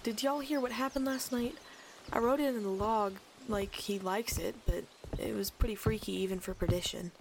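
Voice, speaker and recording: A woman acting as a late-night radio host from a supernatural audio drama, mid-pitched and conversational. She is uneasy and wry, hesitating as she confides in listeners, over audible background noise. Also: fairly even intonation, moderate pace, vocal fry, lightly acted, rough recording. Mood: casual.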